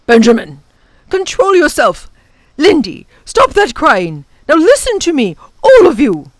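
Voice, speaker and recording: A woman voicing a stern professor in a children's storybook, admonishing unruly youngsters in a sharp, commanding way. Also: high-pitched, huge pitch swings, a little slow, no room sound, pitched above the performer's own voice, exaggerated voice.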